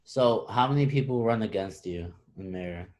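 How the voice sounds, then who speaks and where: A man's voice: lowish voice, normal speed, a little mellow. A man interviewing a guest, asking questions in a casual, somewhat hesitant way.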